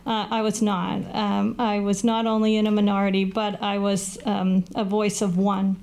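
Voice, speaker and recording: A woman in her sixties, an incumbent councilor, giving an earnest, measured closing statement at a candidate forum, seated at a table microphone in a small meeting room. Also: medium pitch, level tone, creaky, a little mellow. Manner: earnest, measured, with a brief laugh at running out of time. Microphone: table microphone on a stand.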